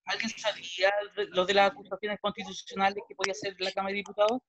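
A man's voice: high voice, little pitch movement, fast talker, a bit bright, low-quality recording. A man in his forties with a Chilean accent, a history teacher explaining patiently over a video call, often restarting his sentences. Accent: Chilean Spanish. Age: 40s. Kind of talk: online class wrap-up.

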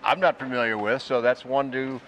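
A man's voice: mid-range voice, fairly even intonation, a little fast, fairly bright tone, slight background noise. A man doing a casual on-site interview, conversational and inquisitive, often acting out hypothetical questions. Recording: music under the voice.

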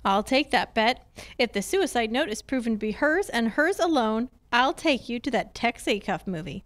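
A woman playing a wry, high-voiced lady detective. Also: lively intonation, moderate pace, close to an everyday voice. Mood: skeptical, bantering. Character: sharp, skeptical young woman private detective, 1950 radio mystery. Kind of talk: old-time radio acting. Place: theatre stage, live performance. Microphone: handheld-style mic on a stand.

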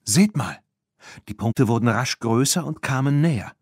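A man narrating a children's audiobook in an expressive, scripted storytelling style. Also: mid-range voice, fairly quick, no room sound, clean studio sound.

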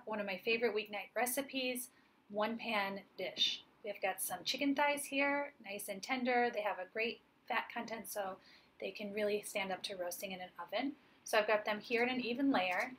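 A woman's voice: mid-range voice, a bit bright. A woman in her forties, a chef, giving a friendly, upbeat cooking demonstration and explaining each step plainly. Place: home kitchen, indoors.

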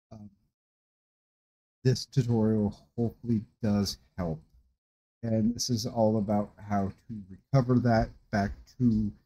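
A man in his thirties giving a casual, rambling, halting tutorial from his desk at home. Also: medium pitch, dark tone, low-quality recording. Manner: rambling, halting, informal explanations. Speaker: tutorial host. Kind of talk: casual screen-share tutorial. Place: small room indoors at a desk.

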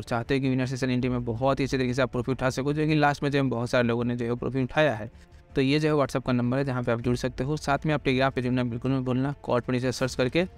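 A man giving a quick, casual cricket pitch analysis, talking straight to viewers with lots of filler phrases. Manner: rapid, heavy filler phrases, addresses viewers directly. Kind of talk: fast casual explainer over slides.

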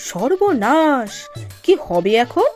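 Sharp-tongued cartoon housewife, capable and exclamatory, high voice with music underneath. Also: female voice, big pitch swings, slow, heavily acted. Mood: exasperated. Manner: scolding, sarcastic, exclamatory. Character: sharp-tongued, bossy but capable young village wife in a cartoon. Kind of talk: animated cartoon dialogue. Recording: music behind the voice.

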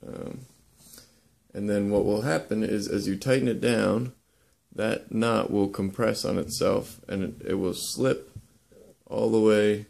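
A young man casually demonstrating how to lash boat joints, talking in a relaxed, halting way with many ums and uhs. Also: on the low side, creaky. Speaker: woodworking instructor. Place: indoor workshop.

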